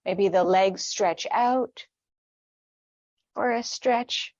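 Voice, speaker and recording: Slow-paced woman guiding meditation. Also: medium pitch, dry recording, lo-fi sound. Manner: gentle, unhurried, soothing instruction. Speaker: meditation guide. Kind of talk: guided meditation with gentle stretches.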